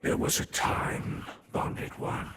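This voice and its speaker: A man's voice: slow pace, natural voice. A man voicing an ancient, haughty serpent-man overlord in a video game, solemn and imperious, with a whispery, voiceless tone. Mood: ominous, proud. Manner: solemn, imperious, archaic phrasing. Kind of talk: scripted game lore narration. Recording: echoing, faint background noise.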